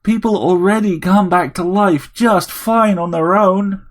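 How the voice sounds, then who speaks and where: A man's voice: high-pitched, a little slow, voice pushed up. A man voicing a grumpy, sarcastic middle-aged man with an irritable manner.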